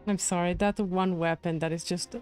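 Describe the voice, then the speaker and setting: A woman's voice: lowish voice, steady tone, normal speed, a little mellow. A woman livestreaming a game, giving casual, musing and empathetic commentary. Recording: game music behind the voice.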